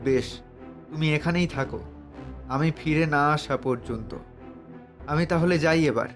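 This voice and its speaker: A man voicing a brave, cunning young hero in a fairy-tale cartoon, calm, confident and smooth-talking. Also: on the high side, normal speed, natural voice. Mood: determined, reassuring. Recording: music behind the voice.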